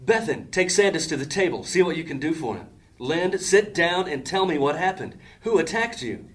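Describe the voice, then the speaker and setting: A man's voice: a little high, expressive, moderate pace, lightly acted. An actor playing a formal, proud male leader of a thieves' gang in an audio drama, speaking ceremoniously. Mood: commanding.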